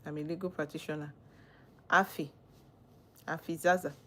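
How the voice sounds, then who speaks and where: Brief: female livestream host, Nigerian accent, slightly low voice, teasing and questioning. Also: steady tone, moderate pace, pushed and bright. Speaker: livestream host, legal practitioner. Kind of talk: live chat reading viewer comments.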